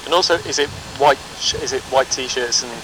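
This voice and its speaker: A man's voice: mid-range voice, a little fast, noisy background. A man interviewing people, asking brief questions and giving short affirmations. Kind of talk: casual interview.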